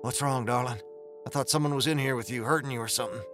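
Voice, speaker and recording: A man's voice: mid-range voice, steady tone, quick pace, music in the background, natural voice. A man voicing a stern, blunt former Texas Ranger with a protective streak in a western audiobook. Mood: protective, tender. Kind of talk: western audiobook character acting.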